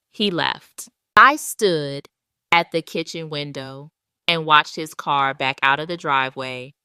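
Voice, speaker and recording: A woman reads a scripted, dramatic first-person story. Her voice is low and very smooth, with measured, deliberate delivery. The recording is close and dry. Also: bright, forceful tone, dry recording. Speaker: audio story narrator.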